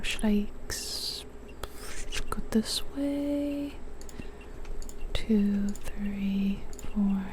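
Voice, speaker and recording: A young woman whispering softly as she plays a building game, a little sniffly from a cold, musing to herself. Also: mid-range voice. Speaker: ASMR gaming streamer. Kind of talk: whispered ASMR gameplay commentary.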